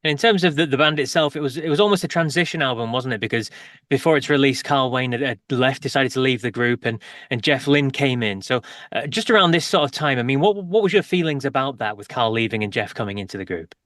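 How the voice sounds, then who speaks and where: A man's voice: medium pitch, expressive, fast talker, fairly bright tone, no room sound, studio quality. A man hosting an interview, asking leading questions that often end in tags. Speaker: interview host.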